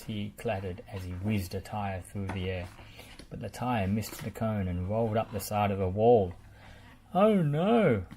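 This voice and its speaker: A storyteller reading a children's picture book aloud in a measured, deliberate way, with background noise. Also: low voice, warm tone.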